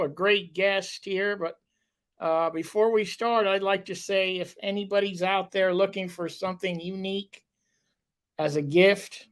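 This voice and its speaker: A man hosting a local talk show, chatting casually with a guest and rambling with lots of fillers. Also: fairly high pitch.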